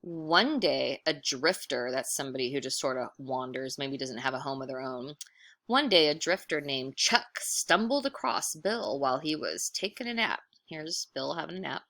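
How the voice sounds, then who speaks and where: A woman reads a children's book aloud in a bright, slightly low voice. She is expressive and encouraging, like a teacher. She often steps out of the story to explain a word or picture in a chatty way. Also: normal speed. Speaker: teacher reading aloud to pupils.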